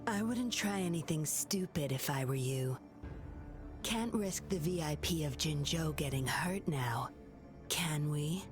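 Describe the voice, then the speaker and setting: A woman's voice: low voice, animated, a little slow, over music. An actress playing a cool, sly, commanding female operative in a video game, with a controlled, taunting, menacing manner. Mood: controlled menace.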